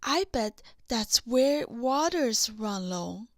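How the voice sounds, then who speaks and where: A woman's voice: fairly high pitch, animated, slow pace, no room sound, clean studio sound. A woman voicing an upbeat, adventurous young princess, eager and practical, in a children's storybook. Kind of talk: children's storybook character reading.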